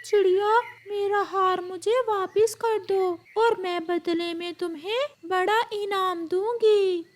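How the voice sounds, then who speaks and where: A woman's voice: very high-pitched, fairly even intonation, slow pace. A woman voicing an imperious, refined bird queen with a commanding manner in a children's cartoon. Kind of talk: Hindi moral-story cartoon dubbing.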